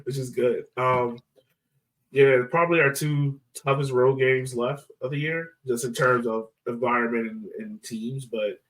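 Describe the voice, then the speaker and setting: A man's voice: medium pitch, steady tone, unhurried, fairly bright tone, poor audio. A man hosting a sports podcast in casual, conversational soccer chat.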